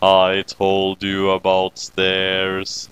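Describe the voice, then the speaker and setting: Brief: smug magical critter, played by a man, low-pitched, drawn-out deadpan taunts for comic storytelling. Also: clean tone, no room sound, full character voice, low-quality recording. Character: smug, deadpan little magical creature, male.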